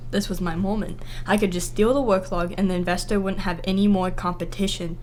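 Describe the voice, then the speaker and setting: A woman playing a wry, cynical private detective with a soft heart, narrating and talking in a radio drama in a dry, understated way. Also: medium pitch, steady tone, fairly quick, lightly acted. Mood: reflective. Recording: some background noise and ambience.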